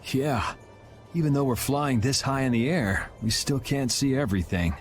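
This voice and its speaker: A man's voice: mid-range voice, normal speed, lightly acted. Guy voicing laid back young game hero, cool n wry, music under it. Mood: calm, teasing. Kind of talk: English game cutscene voice acting. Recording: music behind the voice.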